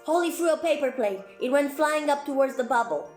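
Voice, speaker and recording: A woman reading a story aloud for children as a clown presenter, expressive and exclaiming, with chatty asides to her young listeners. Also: sits high, a little fast. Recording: roomy echo, music faintly behind.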